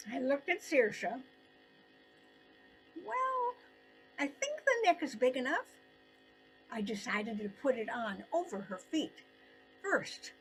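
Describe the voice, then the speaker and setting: An older woman narrating her own personal story in an expressive, deliberate way with dramatic pauses. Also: high voice, low-quality recording.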